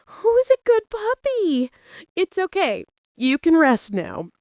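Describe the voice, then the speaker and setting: Woman acting out a high-voiced, tender young tavern maid. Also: huge pitch swings, slow pace, a little mellow, dry recording, clean studio sound, heavily acted. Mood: drunken cooing. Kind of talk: audiobook character acting.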